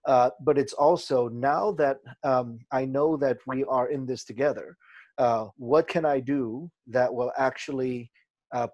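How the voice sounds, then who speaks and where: Measured man professor, dry close sound. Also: mid-range voice, darkish tone, no echo. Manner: thoughtful, measured, many "uh"s. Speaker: professor and writer, panelist. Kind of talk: panel discussion.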